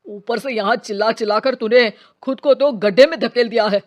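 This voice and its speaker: A woman's voice: fairly high pitch, a little fast, lightly acted. A woman voicing blunt, scornful men such as policemen and husbands in a dramatised cartoon story. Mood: angry, threatening. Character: male characters: gruff policemen and scornful angry men. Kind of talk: dramatised Hindi story dialogue.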